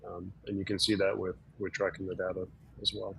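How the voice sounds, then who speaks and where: A slightly hoarse man, a doctor, explaining things in an easygoing way with lots of fillers during a casual webinar chat. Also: low voice, little pitch movement, a little fast, fairly bright tone, a little hiss or hum, rough recording.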